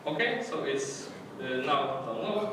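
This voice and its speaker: A man's voice: mid-range voice, animated, unhurried. A man with a Polish accent presenting a coding workshop in English, talking hesitantly with many fillers and restarts. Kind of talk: live coding workshop talk. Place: conference hall. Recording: echoey hall, distant mic, faint noise.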